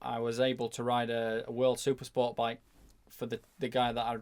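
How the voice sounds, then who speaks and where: A man's voice: mid-range voice, monotone, normal speed, a little mellow. Casual male racer telling stories. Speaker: motorcycle racer, podcast guest. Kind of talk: casual anecdotal storytelling.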